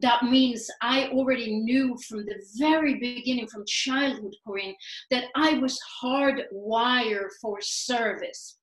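A woman's voice: on the high side, slow pace. A woman from Sweden, talking as a guest in a casual interview in an upbeat, earnest way. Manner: upbeat, earnest, spiritual phrasing. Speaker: guest, life coach. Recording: echoey room.

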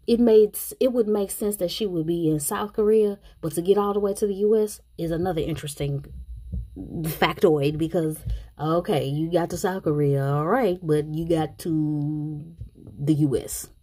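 Woman reviewer, mellow lowish voice, frank and chatty. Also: normal speed. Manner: thinking out loud, frank and opinionated. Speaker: show reviewer. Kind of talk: casual commentary.

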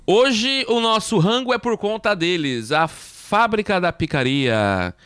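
Animated informal man, slightly high voice. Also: measured pace, clean tone. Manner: animated, informal, repeats "tipo". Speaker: podcast co-host. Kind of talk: casual podcast conversation.

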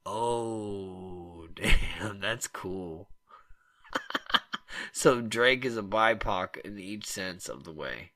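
A man's voice: on the low side, expressive, drawn-out words, sharp tone, no room sound, studio quality. A man co-hosting a comedy podcast, trading crude, joking banter and riffing on absurd ideas. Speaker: podcast co-host.

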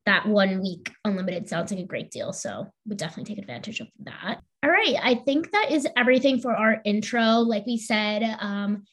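A woman's voice: medium pitch, animated, normal speed, few pauses. Young American female co-host, mid-range voice, casual and conversational podcast delivery. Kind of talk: casual chat, introducing a guest.

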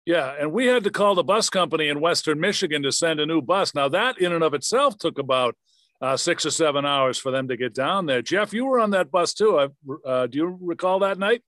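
This is a man hosting a sports interview podcast. His voice sits a little high for a man. The sound is very dry, with no room around it. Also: lively intonation, a little fast, a bit bright, no echo. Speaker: podcast host, former radio play-by-play announcer.

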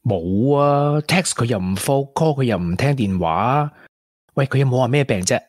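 A man's voice: medium pitch, animated, normal speed, no room sound, studio quality, natural voice. An actor with a Hong Kong Cantonese accent playing a caring, playful young man in a radio drama, with a coaxing, colloquial manner. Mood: puzzled, concerned. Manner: coaxing, colloquial, with English interjections. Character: caring, playful, persistent young man wooing a grieving colleague.

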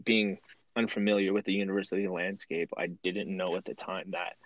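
A young man from Canada with a deep, low voice tells his story as a guest on a sports interview. He speaks in a relaxed, thoughtful way with frequent "um"s. He is recorded close and dry, with no room echo. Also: fairly quick, muffled recording. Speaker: guest, former university football player.

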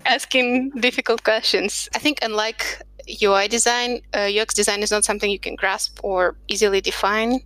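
A woman's voice: medium pitch, steady tone, normal speed, hardly any pauses, a bit bright, poor audio. A woman from Russia who is a podcast guest, talking through her career in a reflective, chatty way, recorded at a home desk surrounded by books. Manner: reflective, chatty, light laughs. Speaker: podcast guest, product designer. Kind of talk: casual interview chat.

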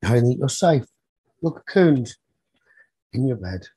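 A very breathy, husky man leads a guided meditation for sleep at a slow pace. His tone is soft and mellow, with short soothing phrases set apart by long pauses. The sound is close and dry. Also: medium pitch, very animated, no echo. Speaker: meditation teacher leading a guided sleep talk-down.

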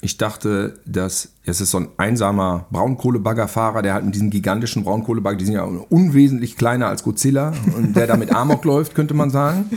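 A man's voice: fairly low pitch, gravelly, darkish tone, slightly rough. A man talking casually as a podcast guest, telling rambling, self-deprecating anecdotes. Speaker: podcast guest, film director and radio play writer. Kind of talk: casual chat.

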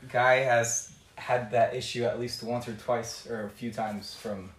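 A man's voice: mid-range voice, little pitch movement, unhurried, noisy background. Laid-back male guest, distant echoey sound. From Reno, Colombian heritage. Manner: candid, laid-back, thoughtful. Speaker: podcast guest. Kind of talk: casual podcast chat.

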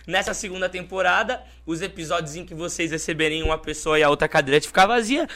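A Brazilian man speaks as a podcast sidekick, mixing casual chat with informal promotion. His voice is bright, forceful and fairly high. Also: fast. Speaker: podcast sidekick and company presenter.

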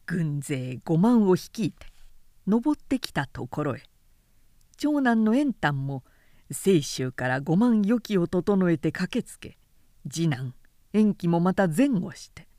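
Female literary narrator: measured, articulate, warm dark tone, very dry close sound. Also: lowish voice, dry recording. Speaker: professional narrator. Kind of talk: scripted literary audiobook narration.

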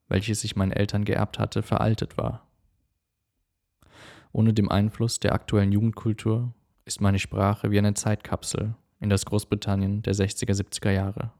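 A man from Germany whose first language is English, giving calm, measured scripted narration for a personal documentary. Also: fairly low pitch.

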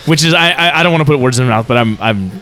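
A man whose first language is Vietnamese co-hosts a relaxed podcast interview. He talks at a medium pitch, circling his point with stammered restarts and fillers. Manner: rambling, halting restarts and fillers. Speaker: podcast co-host. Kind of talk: casual interview chat.

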